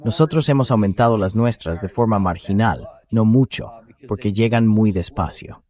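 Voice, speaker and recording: A man's voice: lowish voice, normal speed, dark tone. A man hosting a political discussion, speaking in an emphatic, sceptical way with pointed rhetorical questions. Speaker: talk show host and political commentator.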